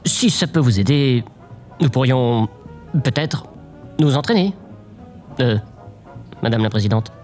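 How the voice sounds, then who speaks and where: A woman playing an awkward, deferential, oddly intense assistant with halting delivery in a comedic audio drama. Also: a bass voice, lively intonation, a little mellow, faint music behind. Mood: awkward, hopeful. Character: awkward, morbid, servile presidential assistant Babylone, oddly intense.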